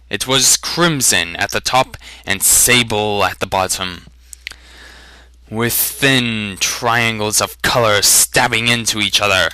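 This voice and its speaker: A male narrator gives a dramatic reading of fantasy fiction. He is deliberate and unhurried, with a very bright, forceful tone. The sound is dry and close. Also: lowish voice, clean tone, no echo, low-quality recording. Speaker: amateur audiobook reader.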